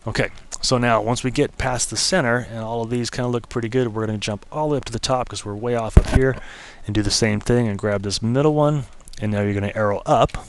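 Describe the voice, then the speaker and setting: A man giving a relaxed, step-by-step screen-recorded software tutorial, slightly losing his voice and clearing his throat now and then. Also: medium pitch, fast talker, slight background noise. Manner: relaxed, step-by-step explaining with casual fillers.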